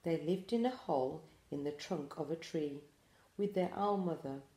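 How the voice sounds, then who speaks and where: A woman in her forties gently reading a picture book aloud to pre-school children, with expressive storytelling. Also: sits low, moderate pace, echoey room. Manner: gentle, addressing small children. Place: small room indoors.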